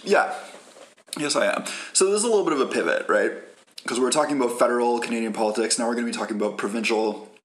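A man's voice: mid-range voice, fast talker. A man co-hosting a podcast, explaining political background casually with many "like"s and "um"s. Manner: explains at length, full of "like" and "um". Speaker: podcast co-host.

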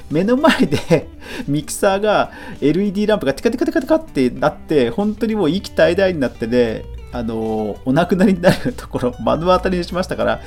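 A man's voice: medium pitch, darkish tone. A man hosting a solo news roundup podcast, talking in a chatty, conversational way and reacting aloud to each item. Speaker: podcast host and YouTuber. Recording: music playing behind the voice. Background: guitar music.

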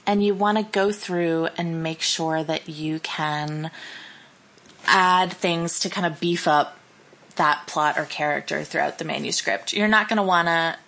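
A woman's voice: deep voice, steady tone, unhurried, a bit bright. A woman co-hosting a podcast, explaining ideas in a thoughtful, conversational way and often restarting her sentences. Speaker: podcast co-host. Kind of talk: casual podcast chat.

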